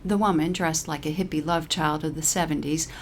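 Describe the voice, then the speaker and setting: A woman's voice: low-pitched, fairly even intonation, brisk, slight background noise. A woman narrating a scripted short story.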